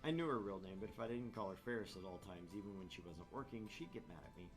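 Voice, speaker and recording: A man's voice: deep voice, lively intonation, fast, over music. A man hosting a gaming video, reading a visual novel aloud and adding casual, joking commentary.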